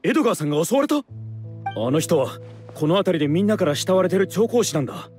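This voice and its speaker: A man's voice: sits high, very animated, fast talker, a little mellow, faint music behind. A man playing a serious, resolute mercenary leader in a Japanese game dub, firm and plain-spoken. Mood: shocked concern. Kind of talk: Japanese anime game dubbing.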